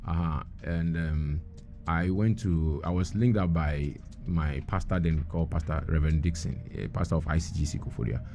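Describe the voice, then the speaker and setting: A very deep, mellow-voiced middle-aged man who grew up in Nigeria speaks as a guest on a radio interview. He tells his story earnestly and at length, often pausing on ums and restarting his sentences. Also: creaky, slight background noise. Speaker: guest entrepreneur.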